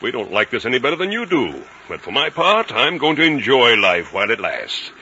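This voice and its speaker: Very sharp-voiced, breezy American businessman. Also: medium pitch, sing-song, fairly quick. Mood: wry, easygoing. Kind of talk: 1950s radio drama acting. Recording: noisy, muffled old radio broadcast.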